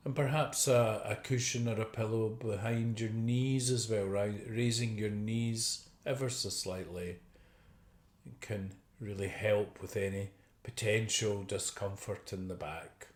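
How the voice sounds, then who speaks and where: A man leading a guided meditation as a teacher, speaking gently and unhurriedly with long pauses. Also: on the low side, fairly even intonation. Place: indoor room. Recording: roomy, echoey video call recording.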